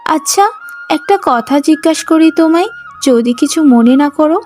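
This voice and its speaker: A woman voicing a gentle, devoted young village daughter-in-law in an emotional cartoon, with a pleading, sentimental delivery. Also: high voice, animated, moderate pace, soft background music. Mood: wistful, hurt. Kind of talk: emotional cartoon story acting.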